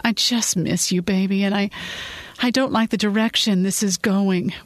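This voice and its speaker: Worried blunt husband on voicemail, narrator voicing him, dry close sound. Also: male voice, fairly high pitch, moderate pace, a bit bright, dry recording, lightly acted. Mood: softened. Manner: anxious, then stern and blunt. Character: worried, frustrated adult husband.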